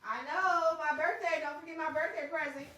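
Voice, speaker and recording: A woman hosting a read-aloud, moving between narration and casual, expressive, chatty asides. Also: sits high, normal speed. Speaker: host reading a book aloud on a live stream. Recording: roomy echo, distant microphone.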